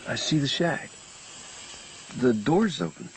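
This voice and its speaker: Gravelly, uneasy questioner. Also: male voice, mid-range voice, lively intonation, slow, slight background noise, poor audio. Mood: uneasy curiosity. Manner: hesitant, questioning. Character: curious, uneasy male listener questioning a stranger. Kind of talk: radio drama acting.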